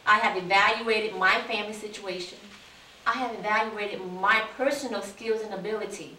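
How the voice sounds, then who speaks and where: A woman in her forties, born in Vietnam, a city council candidate telling her life story in an earnest, steady way. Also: mid-range voice, moderate pace. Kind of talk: interview answers, life story. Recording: echoey room, distant mic, faint hiss.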